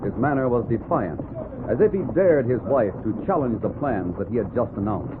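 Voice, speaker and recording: A man's voice: medium pitch, expressive, normal speed, noisy background. An American man narrating a 1940s radio drama as announcer in a grand, theatrical manner. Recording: tinny 1940s radio recording. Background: music.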